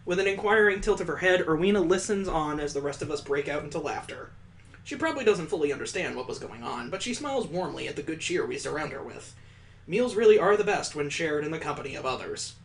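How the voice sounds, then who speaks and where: A game playthrough host of unclear gender, reading visual novel narration aloud in an expressive, jokey way with casual asides. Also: mid-range voice, quick pace.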